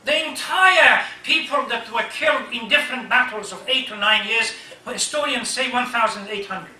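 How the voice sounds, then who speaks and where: A man whose first language is Persian preaches with emphasis, pausing haltingly and putting rhetorical questions to his listeners. His voice is bright and forceful. It is picked up from a distance in an echoey room, with faint noise behind. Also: extremely high pitch. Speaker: imam, religious lecturer. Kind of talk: impassioned religious sermon. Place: echoey hall, speaking to a congregation.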